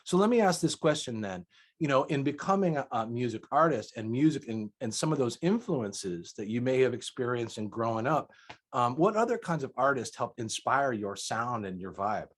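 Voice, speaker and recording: A man hosting an interview over a call, full of generous praise, his sentences often sinking softly at the end. His pitch sits in a plain middle range. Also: lively intonation, normal speed. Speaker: interviewer host. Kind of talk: casual interview.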